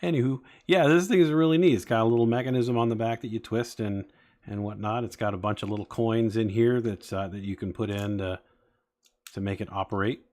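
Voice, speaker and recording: A man's voice: fairly low pitch, fairly even intonation, fairly quick, a little mellow. A man in his forties chatting casually with live-stream viewers, rambling with many fillers as he talks. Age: 40s. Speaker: streamer building toy sets.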